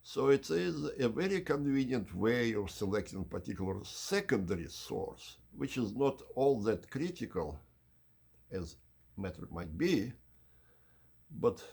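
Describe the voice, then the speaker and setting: A man hosting an unhurried explanatory video tour in measured, slightly formal phrasing, with a husky, gravelly voice. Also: mid-range voice, sing-song, a little mellow. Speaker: channel host giving an audio system tour.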